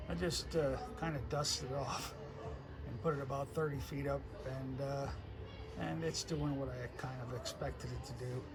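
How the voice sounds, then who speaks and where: A man from Connecticut talking casually as an amateur radio operator, halting often in mid-sentence as he goes. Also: mid-range voice, fairly even intonation, music in the background. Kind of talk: casual ham radio chat.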